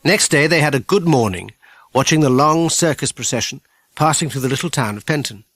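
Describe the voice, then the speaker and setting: A woman's voice: low-pitched, animated, fairly quick, a bit bright, no room sound. A woman with a British accent reading a children's story as a scripted audiobook narrator. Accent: British English.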